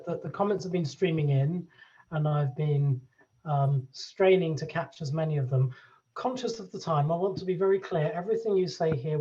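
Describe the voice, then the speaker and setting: Moderator chairing a panel off the cuff, mellow even voice, bit muffled. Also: medium pitch. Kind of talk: unscripted event moderation.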